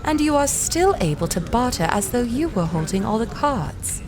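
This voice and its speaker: A woman's voice: fairly high pitch, very animated, normal speed. A woman playing a formal, dryly polite envoy for a wealthy employer in a 1930s adventure audio drama. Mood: dryly impressed. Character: composed, businesslike female envoy of a wealthy employer. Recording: music behind the voice.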